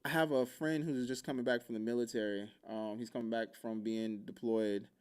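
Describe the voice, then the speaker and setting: A man from Hawaii telling a story in a casual, joking podcast chat, animated and full of vocal sound effects. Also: mid-range voice, normal speed, clear, resonant tone. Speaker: podcast speaker.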